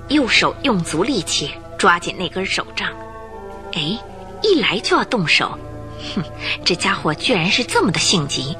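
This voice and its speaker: A woman narrator telling a radio drama story from a script in a vivid, suspenseful, dramatic way. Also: on the low side.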